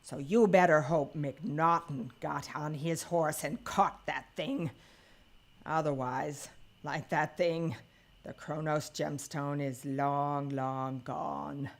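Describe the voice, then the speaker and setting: A woman playing a weary, sardonic old woman in an audio drama, with a deliberate, dry and scornful manner. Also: sits low, fairly even intonation, creaky, full character voice. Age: older.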